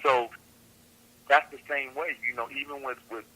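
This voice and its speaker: A man's voice: mid-range voice, steady tone, normal speed, pushed and bright, poor audio. A man, a council candidate guest on a radio show, speaking earnestly and repeating phrases for emphasis. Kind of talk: radio interview answer.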